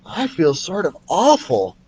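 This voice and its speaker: A man's voice: a little high, huge pitch swings, a little slow, darkish tone, breathy edge, voice pushed up, heavily acted. A man playing Keith, a listless young boy. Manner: listless, subdued.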